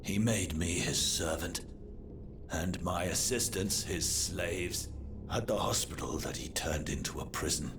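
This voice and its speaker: A man playing a sombre, grieving executioner in a video game, speaking gravely and formally. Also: low-pitched, measured pace, background noise. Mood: bitter, haunted. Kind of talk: dramatic video game acting. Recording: music behind the voice.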